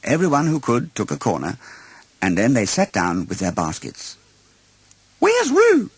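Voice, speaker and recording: A man reading a children's storybook as narrator in an expressive storytelling style. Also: on the low side, normal speed, slightly rough, dry recording. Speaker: storyteller, audiobook reader.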